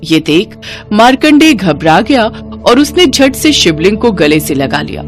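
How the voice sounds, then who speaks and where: A woman's voice: medium pitch, big pitch swings, unhurried. A woman tells a devotional tale as a scripted narrator. Her intonation moves widely and expressively from phrase to phrase, with music playing underneath.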